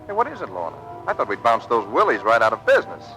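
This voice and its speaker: A man's voice: medium pitch, fast talker, soft background music, rough recording. A man with an American accent acting a jaunty, wisecracking suitor in a vintage radio drama. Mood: puzzled, concerned. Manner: breezy, joking, animated.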